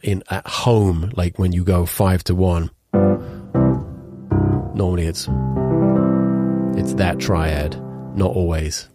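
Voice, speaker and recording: A lowish-voiced man in his thirties, bunged up with a cold, wanders through a casual lesson on chord voicings with plenty of fillers and restarts. A piano plays loudly under his speech throughout. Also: level tone, takes it slowly, pauses often. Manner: rambling, restarts and fillers, occasional hummed notes. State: congested with a cold. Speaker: musician teaching composition. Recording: piano playing loudly in the mix.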